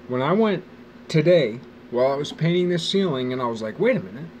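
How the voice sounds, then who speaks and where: A man's voice: fairly high pitch, lively intonation, brisk, darkish tone, a little hiss or hum. A man in his forties rambling casually about his life in a wry, profane, musing way, speaking outdoors. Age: 40s. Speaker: vlogger. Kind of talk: rambling personal monologue. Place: outdoors near a bench.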